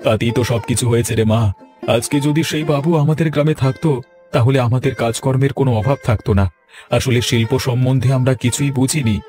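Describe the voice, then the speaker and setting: A man's voice: medium pitch, steady tone, fast, darkish tone, soft background music, lightly acted. A man voicing a poor, despairing middle-aged village father in a Bengali cartoon, lamenting and self-pitying. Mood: regretful. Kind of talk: Bengali cartoon story dubbing.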